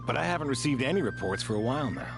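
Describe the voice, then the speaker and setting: An actor playing a weary, dutiful sergeant major in a video game, frank and matter-of-fact. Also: male voice, mid-range voice, expressive, very fast, vocal fry, over music. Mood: frustrated.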